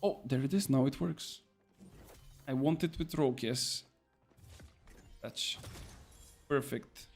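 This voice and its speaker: Give me an excitable young man from Bulgaria, commenting on his gameplay, with music playing behind. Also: mid-range voice, takes it slowly, creaky, pauses often. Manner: excitable, repeats words, says bro. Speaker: game streamer.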